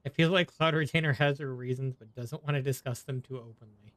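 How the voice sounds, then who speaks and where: A man's voice: medium pitch, fairly even intonation, fairly quick, fairly bright tone, dry recording. A man giving casual playthrough commentary as a video game streamer, with wry, teasing asides.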